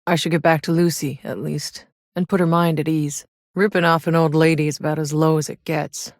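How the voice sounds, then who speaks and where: A woman's voice: sits low, steady tone, a little fast, dry recording, clean studio sound. An actress playing a tough, sardonic female soldier in a sci-fi shooter game, with a wry, blunt delivery. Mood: focused, businesslike. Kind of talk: video game voice lines, naturalistic acting.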